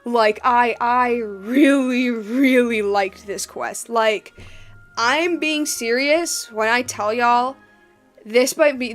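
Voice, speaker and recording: A woman's voice: a little high, a bit bright. A woman giving casual, rambling gameplay commentary, slangy and informal, repeating herself for emphasis. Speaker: video game streamer.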